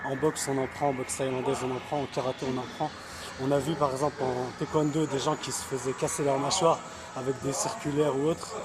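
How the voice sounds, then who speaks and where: A man's voice: mid-range voice, little pitch movement, a little fast, background noise. A man being interviewed casually, explaining his points and often repeating and rephrasing as he goes. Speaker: interviewee, combat sports practitioner.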